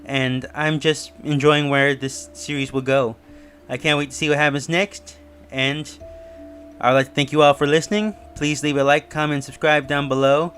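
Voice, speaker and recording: A man's voice: medium pitch, fairly bright tone. A man giving casual, speculative video commentary in a musing, slightly rambling way as host. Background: music under the voice.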